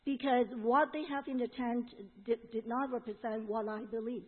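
A woman's voice: a little high, steady tone, normal speed, slightly rough. A woman with a Hong Kong accent interpreting a sermon, relaying each phrase with fillers and restarts. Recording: tinny phone-like sound.

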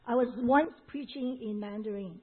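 Husky woman interpreting a sermon with a Hong Kong accent. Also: fairly high pitch. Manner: relays each phrase quickly, with fillers and restarts. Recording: tinny phone-like sound.

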